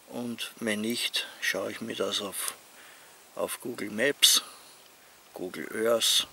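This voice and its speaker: Austrian male vlogger, fifties, low creaky voice with a bright edge, casual talk outdoors over faint ambient noise. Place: outdoors among leafy trees.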